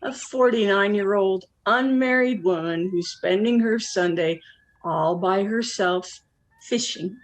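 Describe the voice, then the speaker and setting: A woman's voice: mid-range voice, measured pace, lightly acted. Blunt, prickly middle-aged woman, acted by a woman. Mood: wry, lonely. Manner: blunt, probing, wry. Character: blunt, prickly, lonely 49-year-old unmarried woman out fishing. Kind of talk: naturalistic one-act play dialogue.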